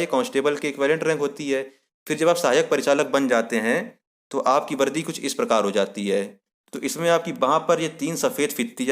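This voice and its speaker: A man presenting an explanatory lesson to viewers, repeating key points and posing questions that he then answers himself. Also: mid-range voice. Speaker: presenter of an exam-guidance lesson. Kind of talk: explanatory tutorial addressed to viewers.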